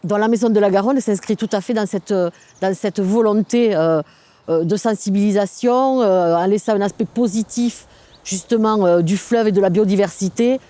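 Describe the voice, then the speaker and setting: Mellow-voiced woman explaining a local project. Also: mid-range voice, moderate pace. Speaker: local government sustainable development officer.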